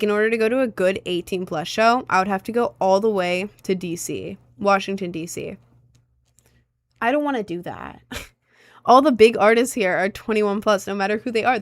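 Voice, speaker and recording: A woman's voice: medium pitch, dry recording. A young woman, not yet twenty, talks loosely into a close phone mic on a livestream, answering viewers as thoughts come to her. Her voice sits in an ordinary middle register and sounds dry, with no room around it. She wanders through her sentences with plenty of "like" and "um". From United States. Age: young adult. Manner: rambling, chatty, lots of "like" and "um" fillers.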